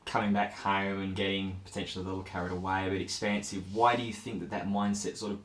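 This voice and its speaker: Deep, flat-toned male sports interviewer. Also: fast talker, echoey room. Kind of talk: post-match interview questioning.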